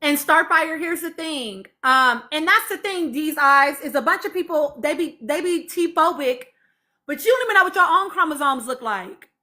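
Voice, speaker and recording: Bright, high-voiced woman speaking with emphasis. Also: lively intonation, moderate pace. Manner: emphatic, repeats phrases for stress, urging listeners. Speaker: live-stream host reading and answering audience comments. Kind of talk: impassioned informal live commentary.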